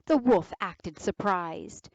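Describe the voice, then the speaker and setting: A woman storyteller reading aloud to children in a deliberate, dramatic narrating style. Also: mid-range voice, dry recording.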